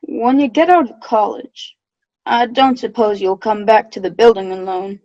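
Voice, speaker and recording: A woman's voice: mid-range voice, moderate pace, darkish tone, no room sound, highs cut off, lightly acted. A woman playing a tired, kindly father in an old-time radio play. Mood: weary, affectionate.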